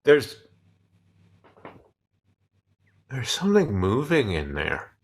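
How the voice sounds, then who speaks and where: A man's voice: mid-range voice, wildly swooping pitch, moderate pace, slightly rough, full character voice. A man playing a cautious, uneasy spaceship crewman in a comic radio play, stammering and hesitant. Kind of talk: cold-read comic radio sci-fi play.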